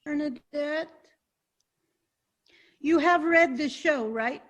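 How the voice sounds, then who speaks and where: Woman acting bossy fed-up community theater lady, really high voice, slow scolding delivery, web comedy. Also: fairly bright tone. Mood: stern, exasperated. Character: exasperated bossy woman running an amateur theater production.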